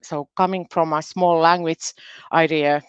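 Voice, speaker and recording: A woman with a Finnish accent and Finnish as her first language gives a careful, halting conference presentation as a university research specialist, often doubling her words. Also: sits low, fairly even intonation, smooth, dry recording, highs cut off. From Finland.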